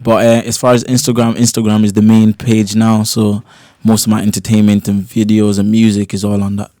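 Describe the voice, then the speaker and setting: Fast-talking young man from Cork. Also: fairly low pitch, monotone, mellow, no echo, studio quality. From Cork, Ireland. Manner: laid-back, loose slang, keeps checking in with the listener. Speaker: guest musician. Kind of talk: casual interview chat. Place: small radio studio. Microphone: studio microphone on a desk stand.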